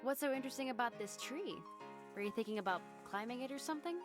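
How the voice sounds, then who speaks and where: A woman voicing a teasing but caring high-school girl in an amateur visual novel fandub, with an expressive, probing manner. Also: quick pace, over music, natural voice. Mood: playful, curious.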